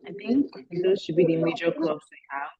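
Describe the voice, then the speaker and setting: Young woman student, kinda husky, low-ish voice, chatty, lots of "like", muffled call audio. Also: moderate pace, no echo. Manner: chatty, lively, full of "like" and "you know". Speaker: current MBA student panelist. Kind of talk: casual spoken answer. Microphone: video call. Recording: dull lo-fi video-call audio.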